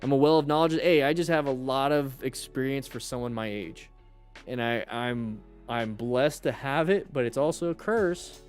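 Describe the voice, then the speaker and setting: Male livestream host, mid-range voice, blunt and joking, animated casual chat with viewers, music underneath. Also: medium pitch, moderate pace. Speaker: firefighter.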